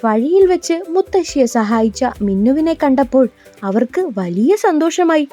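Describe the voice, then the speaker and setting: A woman's voice: high voice, big pitch swings, moderate pace, mellow, faint music behind. A woman narrating a scripted bedtime story for children.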